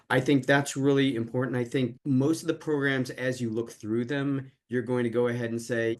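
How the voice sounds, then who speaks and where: Measured man giving advice on a panel. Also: mid-range voice, a little mellow. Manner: thoughtful, measured, hedging advice. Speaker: clerkship director. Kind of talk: panel webinar answer.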